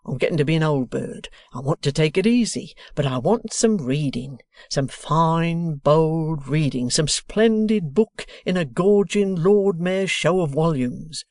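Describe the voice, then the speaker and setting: A reader voicing an excitable, uneducated retired old man who exclaims loudly, mangles his words and swings through a wide range of pitch. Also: a little slow, few pauses, dry recording, clean studio sound. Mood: eager. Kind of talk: audiobook character reading.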